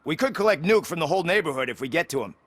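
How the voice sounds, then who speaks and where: A man's voice: on the high side, expressive, rapid-fire, no echo. A man playing a plain-spoken, streetwise character in a crime video game, insistent and matter-of-fact.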